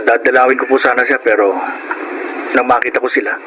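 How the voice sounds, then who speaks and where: Actor as shy, fearful young Filipino man, medium pitch, polite and hesitant, noisy radio drama. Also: little pitch movement, fast, natural voice. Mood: dejected.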